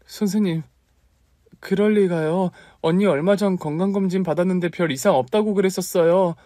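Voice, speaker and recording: Scatterbrained young aunt, warm and high-pitched, read by a man. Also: normal speed, no echo, clean studio sound, natural voice. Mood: shocked.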